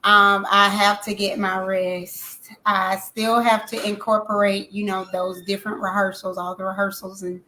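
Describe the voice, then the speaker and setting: A woman singer speaking in a casual interview, emphatic and animated, often repeating phrases for effect. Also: medium pitch, moderate pace, few pauses, a little hiss or hum, rough recording. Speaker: singer guest.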